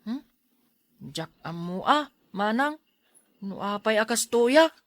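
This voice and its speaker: Timid, whiny young boy, bright voice. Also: on the high side, lively intonation, slow pace, dry recording, studio quality. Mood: fretful. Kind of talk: Ilocano radio drama acting.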